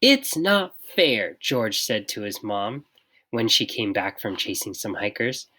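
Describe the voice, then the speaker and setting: A reader giving an expressive, unhurried children's picture book read-aloud with dramatic pauses. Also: sits low. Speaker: storybook reader.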